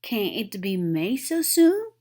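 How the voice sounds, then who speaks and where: A woman's voice: medium pitch, sing-song, slow pace, dark tone. A woman voicing a sleepy, grumpy, reluctant male toad who grumbles and protests in a children's storybook. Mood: surprised. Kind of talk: children's storybook read-aloud.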